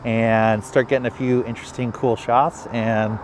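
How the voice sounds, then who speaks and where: A man's voice: medium pitch, little pitch movement, moderate pace, noisy background. A man chatting casually as a video creator and host of a vlog.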